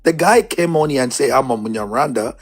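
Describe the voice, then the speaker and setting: Exasperated husky-voiced man on a debate panel. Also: medium pitch, lively intonation, brisk. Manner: exasperated, ranting then apologizing for yelling. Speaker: panel participant. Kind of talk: heated online political debate.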